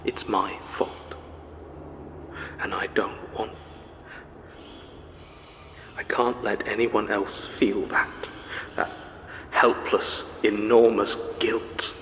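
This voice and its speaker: A man playing an anguished, guilt-ridden character in an audio drama, halting, intense and brooding. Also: lowish voice, level tone, bright, forceful tone, long reverb tail, slight background noise, heavily acted.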